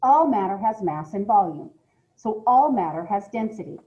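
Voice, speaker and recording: A woman's voice: medium pitch, animated, moderate pace, lo-fi sound. A woman with an American accent reading a lesson aloud as a science teacher, explaining things directly to her students with informal asides. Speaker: middle school science teacher.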